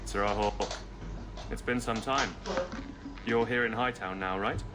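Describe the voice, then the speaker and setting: A man's voice: fairly low pitch, steady tone, slow pace, loud music behind the voice. A man playing an easygoing, polite city guardsman in a fantasy game, good-natured and plain-spoken. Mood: friendly, puzzled. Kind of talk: fantasy video game acting. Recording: game audio under clear noise.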